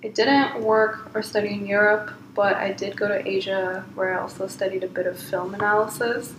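A woman's voice: medium pitch, fairly even intonation, normal speed, noisy background. A young woman in her twenties, a recent graduate, co-hosting a casual TV recap with brief dry remarks. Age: 20s. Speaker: co-host. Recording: roomy echo.

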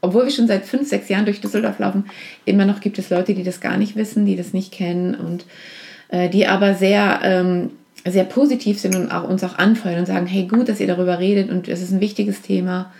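A woman's voice: mid-range voice, flat delivery, brisk, creaky, a little mellow. A woman being interviewed on a podcast about her outreach work, speaking earnestly with many fillers and restarts. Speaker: guest from a support organization.

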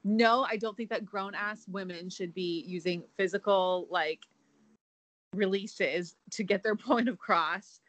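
A young woman in a mid-pitched voice trades wry gossip, hedging and giggling between points. Her voice is recorded close and dry, with no room sound. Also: measured pace, a bit bright, dry recording. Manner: wry, hedging, giggly, many likes and I means. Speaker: podcast co-host. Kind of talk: casual gossip chat.

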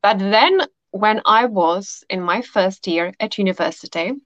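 Woman guest, unhurried and heartfelt, on a dry close recording. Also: medium pitch, no echo. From Czech Republic. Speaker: podcast guest. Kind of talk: warm storytelling chat. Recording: dull dry lo-fi audio.

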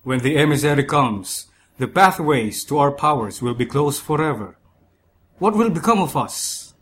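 A man's voice: mid-range voice, normal speed, fairly bright tone, natural voice. A man voicing a spirited but troubled young Persian warrior in a story, speaking earnestly and formally. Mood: anxious. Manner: earnest, formal old-world speech.

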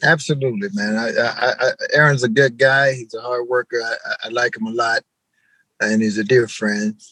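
A man from Arkansas, an interview guest speaking in an easygoing, halting way. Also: medium pitch, fairly even intonation, sharp tone, husky, no room sound, low-quality recording. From Arkansas, USA. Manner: easygoing, halting, repeats words. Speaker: guest musician. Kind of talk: casual interview over video call.

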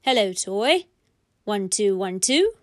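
A woman's voice: mid-range voice, sing-song, slow, dry recording, clean studio sound. A woman voicing a playful, incredulous father in a children's story, speaking emphatically. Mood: testing.

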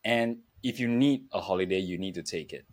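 Low-voiced man, halting and reflective. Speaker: podcast guest, entrepreneur. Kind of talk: reflective interview talk.

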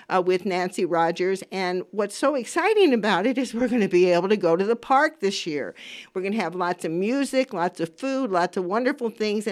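A woman in her seventies hosting a talk radio show, speaking casually and emphatically, repeating phrases for stress as she gives her opinions. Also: medium pitch. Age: 70s. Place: radio studio.

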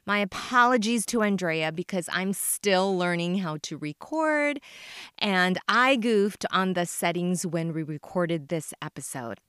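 A woman's voice: fairly low pitch, lively intonation, a little slow, fairly bright tone, smooth, no echo, clean studio sound. A woman hosting a podcast, reading an upbeat scripted introduction to her guest. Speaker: podcast host.

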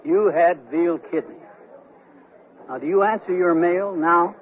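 Thin radio recording, music behind, sardonic business troubleshooter, self-assured, higher-set male voice, dry comic narration. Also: a little slow, narrow, tinny audio, slight background noise. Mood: pointed. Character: wry, self-assured male corporate troubleshooter telling his story. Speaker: narrator and lead character. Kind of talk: radio comedy acting with first-person narration. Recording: band-limited radio broadcast with music.

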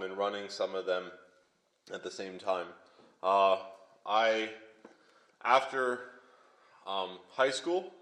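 A young male pastor from Pennsylvania, giving his testimony in a church hall. His voice sits low, and it rings with echo from the room. He speaks haltingly, with fillers and restarts. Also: fairly bright tone. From Pennsylvania, USA. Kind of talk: sermon testimony. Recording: echoey hall.

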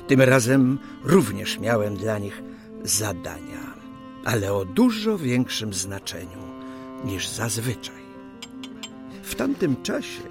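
A formal, earnest official of the 1820s in a radio play. His mid-pitched voice moves through old-fashioned phrases with deliberate pauses, over prominent music. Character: 19th-century Polish official reminiscing fondly, formal and earnest. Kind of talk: audio drama acting and diary narration.

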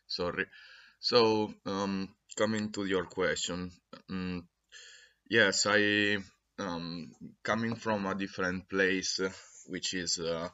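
A man from Italy with an Italian accent, answering interview questions haltingly with many fillers and restarts. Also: low voice, fairly even intonation, sharp tone, dull audio. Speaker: academic guest.

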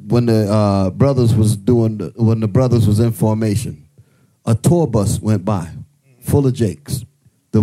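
A man's voice: fairly low pitch, mellow, low-quality recording. A man telling a story to a congregation in a rambling way, correcting himself as he goes.

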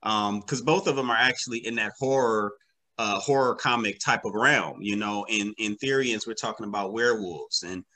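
Guy being interviewed, bright forceful voice, casual and slangy, kinda halting, repeats himself. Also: on the low side. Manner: halting, repeats words, slangy, often says "man" and "you know". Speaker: interview guest, comic book creator.

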